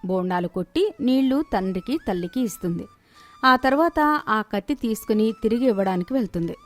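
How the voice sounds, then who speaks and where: A woman's voice: fairly high pitch, animated, fairly quick, soft background music. A woman narrating a scripted moral story as its storyteller.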